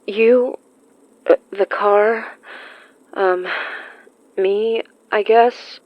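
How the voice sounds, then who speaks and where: Audio drama role: actress as tender, guilt-ridden young woman, slow and stammering, naturalistic, slightly muffled sound. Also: mid-range voice, fairly even intonation, no echo. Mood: shaken, calming down. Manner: halting, stammering, emotional.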